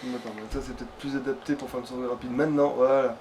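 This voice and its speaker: A man's voice: medium pitch, level tone, rapid-fire. Man, casual let's play chat, crude and rambly, noisy home recording. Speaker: video game let's player at the controls. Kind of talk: casual gaming chat with a friend.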